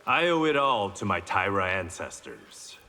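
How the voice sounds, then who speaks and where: A man's voice: low-pitched, big pitch swings, measured pace, sharp tone, long reverb tail, heavily acted. An actor playing a stoic, dry-humored blind swordsman in a fighting game, terse and guarded. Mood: confident, guarded. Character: stoic blind swordsman, reformed male criminal, proud and dry. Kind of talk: video game pre-fight banter.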